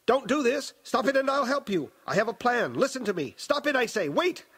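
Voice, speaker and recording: An old autocratic baron's spirit performed by a male narrator for a dramatic audiobook. The voice is querulous and imperious, pushed high and loud as it commands and rages. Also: expressive, moderate pace, heavily acted. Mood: raging, desperate. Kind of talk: dramatic audiobook character acting.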